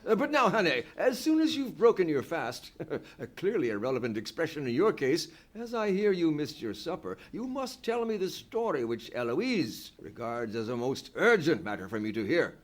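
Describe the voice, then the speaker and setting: Guy acting a posh older gent in a radio play, chatty and courteous, high voice that swoops around. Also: sing-song, normal speed, hardly any pauses. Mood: genial, welcoming. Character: genial, well-bred older English gentleman statesman. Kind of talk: live old-time radio play acting.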